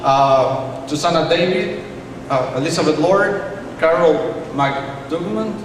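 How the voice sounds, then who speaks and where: A man's voice: fairly high pitch, slow pace. A man, an adult English learner, giving an earnest speech in simple phrasing at an awards night. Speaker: ESL student giving a speech. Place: large hall, speaking at a podium. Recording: echoey hall, distant mic, background noise.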